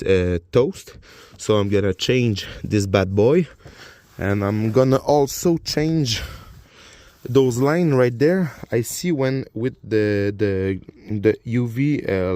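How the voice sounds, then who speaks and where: A man whose first language is French, speaking English with a French accent as he walks through a car repair hands-on. He explains step by step from a garage and under the car, halting often with uh and repeated words while he films on his phone. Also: on the low side, warm tone, no room sound. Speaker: DIY car repair vlogger. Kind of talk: casual hands-on repair walkthrough. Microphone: phone camera while working.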